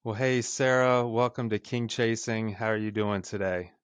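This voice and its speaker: A man's voice: lowish voice, steady tone, normal speed, clean tone, no echo. A man hosting an interview podcast, addressing listeners and his guest.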